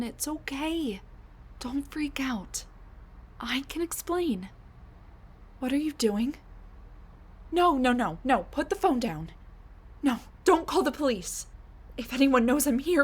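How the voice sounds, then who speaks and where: A woman's voice: high-pitched, slight background noise. A woman playing a flustered time-traveling scientist wife. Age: middle-aged. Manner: stammering, flustered, many fillers and restarts; hushed muttering to herself. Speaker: audio roleplay performer. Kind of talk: audio roleplay drama.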